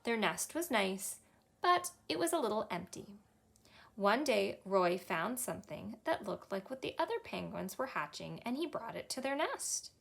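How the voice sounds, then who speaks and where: A woman in her thirties with a Canadian accent, a children's librarian reading a picture book aloud in a cheerful, expressive storytime manner. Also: mid-range voice, normal speed, vocal fry. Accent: Canadian English. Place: small indoor library room.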